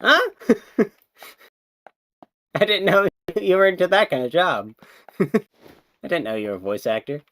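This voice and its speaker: A man's voice: high voice, animated, a little slow, pauses often, a bit bright. A young man chatting casually on a stream, rambling through stories and laughing often. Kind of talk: casual chat.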